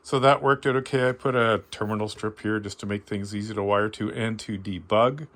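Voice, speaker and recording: A man's voice: lowish voice, fairly even intonation, a little fast, fairly bright tone. A man with a Canadian accent giving a casual, unscripted hobbyist walkthrough in a modest, self-deprecating way. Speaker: amateur radio hobbyist giving a tour.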